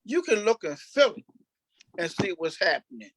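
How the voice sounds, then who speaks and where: A man's voice: high-pitched, big pitch swings, slight background noise, low-quality recording. A man speaking off the cuff as a cast member in a panel discussion, emphatic, often repeating and restarting his phrases. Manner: emphatic, repetitive, halting restarts. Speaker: stage play cast member.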